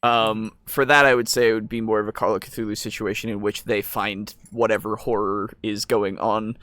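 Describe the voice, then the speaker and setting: A man hosting a casual chat about tabletop gaming, talking in a halting, rambling, agreeable way. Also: fairly low pitch, flat delivery, fairly bright tone, no echo. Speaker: podcast host.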